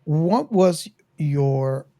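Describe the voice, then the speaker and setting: A podcast host speaking casually in a halting way, often restarting sentences, while leading a film discussion. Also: medium pitch, lively intonation, mellow, no echo, studio quality.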